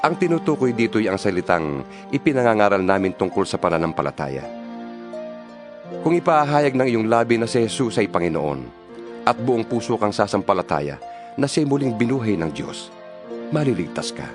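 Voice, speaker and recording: A man's voice: fairly low pitch, sing-song, moderate pace, over music. A man reading scripture as narrator of a dramatized Bible, in an earnest, rhetorical preaching delivery.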